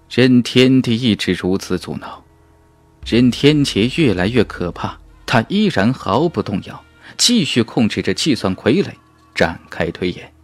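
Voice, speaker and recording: A man's voice: mid-range voice, no echo. Male storyteller, scripted fantasy narration, emphatic, suspenseful, widely varied intonation, dry close sound. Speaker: audiobook narrator.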